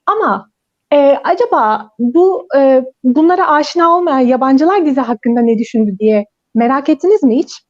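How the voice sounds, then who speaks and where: A woman's voice: sits high, lively intonation, moderate pace. A woman whose first language is Turkish, hosting a casual online chat and laughing often. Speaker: host. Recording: dull lo-fi sound.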